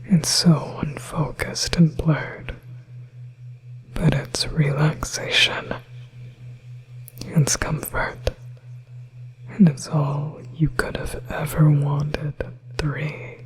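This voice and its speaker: Woman as a slow-whispering, possessive demoness. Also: low voice, level tone, low-quality recording. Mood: hypnotic coaxing. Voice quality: breathy, unvoiced whisper. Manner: slow, hushed, coaxing, hypnotic. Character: possessive, soothing female demon coaxing a victim. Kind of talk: whispered ASMR hypnosis roleplay.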